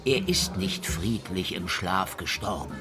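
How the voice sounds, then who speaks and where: A man's voice: sits low, lively intonation, unhurried, music in the background. An actor playing a sardonic, menacing private detective in a noir radio drama, with a clipped tough-guy delivery. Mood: grim, accusing.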